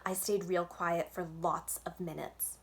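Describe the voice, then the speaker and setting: A woman reading a children's book aloud as a storyteller, with expressive, childlike emphasis in a first-person narration. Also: lowish voice, measured pace.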